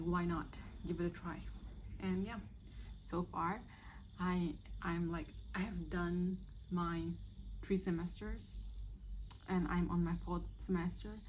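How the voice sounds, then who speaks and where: A woman's voice: on the low side, a little mellow, slight background noise, rough recording. A woman in her twenties, from Myanmar, chatting casually and a little hesitantly through a first-video Q&A, with many fillers. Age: 20s. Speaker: vlogger.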